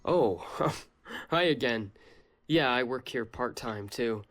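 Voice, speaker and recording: An actor playing a helpful young shop clerk in a game, informal and obliging. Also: male voice, mid-range voice, lively intonation, takes it slowly, fairly bright tone, soft background music. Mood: friendly. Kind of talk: video game character acting.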